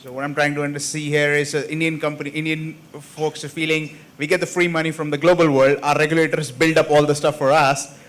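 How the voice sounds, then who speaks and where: A man moderates a panel, restating the panelists' points back as quick questions. He talks fast in a flat, higher-pitched voice. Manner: summarizing, probing questions. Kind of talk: panel discussion.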